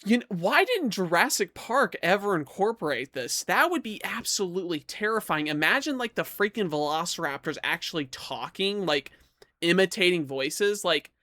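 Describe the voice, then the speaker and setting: A man hosting a reaction video, talking casually and excitedly in a slangy, animated way. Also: high voice, normal speed, no echo. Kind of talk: excited casual reaction commentary, reading dialogue aloud.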